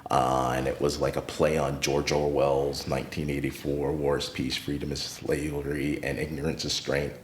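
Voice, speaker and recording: Very deep-voiced American man in an echoey room. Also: slight background noise. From United States. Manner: unhurried, reflective, lively when retelling encounters. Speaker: podcast guest, retired teacher. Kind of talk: casual interview storytelling.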